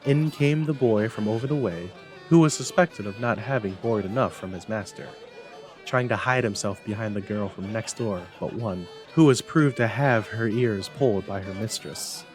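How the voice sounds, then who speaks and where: A man reading a classic story aloud as narrator, in an expressive, measured, dramatic style. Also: on the low side, faint music behind. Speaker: narrator, library staff reader.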